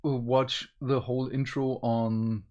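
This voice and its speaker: A man's voice: medium pitch, level tone, slow, darkish tone, muffled recording. A man co-hosting a review show in casual chat, speaking hesitantly as he searches for words. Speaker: co-host of an animation review show.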